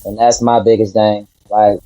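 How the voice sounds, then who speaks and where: A man's voice: fairly low pitch, level tone, normal speed, dark tone, no room sound, low-quality recording. A man from Detroit, a rapper, answering interview questions in a laid-back, earnest way. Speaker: rapper guest.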